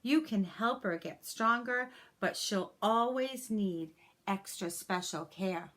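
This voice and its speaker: A woman voicing a kindly male veterinarian in a children's storybook, explaining things gently. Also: medium pitch, slow pace. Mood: gentle, regretful.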